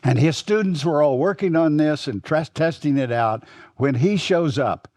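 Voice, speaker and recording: An older man with a Texas accent giving a rambling, reminiscing historical lecture at a symposium, often pausing and restarting. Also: a little high, a little mellow, no echo, studio quality. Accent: Texan. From Texas, United States. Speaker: symposium speaker.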